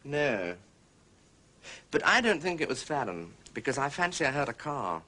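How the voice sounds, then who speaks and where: An actor playing a blunt, slightly uneasy gentleman witness, clipped and defensive, in a period detective drama. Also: mid-range voice, sing-song, moderate pace.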